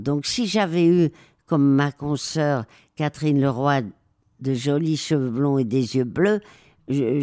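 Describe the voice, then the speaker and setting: This is an older woman speaking at an unhurried, deliberate pace, her voice mellow and dark. She pauses often and fills the gaps with hesitations as she recounts her experiences in a reflective interview. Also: rumbling low. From raised in Spain. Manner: slow, hesitant, many pauses and fillers. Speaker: interview guest, war photographer.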